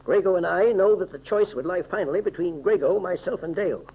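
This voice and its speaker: Husky, noble old-radio scientist. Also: male voice, high voice, a little fast, a little mellow, lo-fi sound. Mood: grave, insistent. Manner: formal, stately, resigned. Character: dignified, self-sacrificing scientist, loyal friend of the hero. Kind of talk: 1930s radio serial acting.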